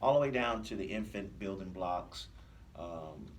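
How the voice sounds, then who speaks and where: An American man speaking as a police officer guest in a radio interview, explaining things in a measured, conversational way. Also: low-pitched, expressive, distant mic. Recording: faint noise, off-mic room sound.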